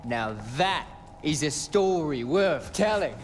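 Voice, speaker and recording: An actor playing a hazy, florid stoner storyteller in a comic video game cutscene. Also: male voice, fairly high pitch, animated, slow, long reverb tail, slight background noise. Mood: hazy, amiable.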